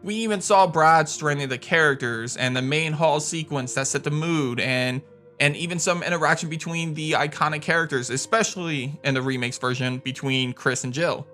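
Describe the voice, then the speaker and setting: Male countdown host for gaming videos: forceful, bright delivery, enthusiastic, music underneath. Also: a little high, normal speed. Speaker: video game channel host. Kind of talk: scripted countdown commentary. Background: background music.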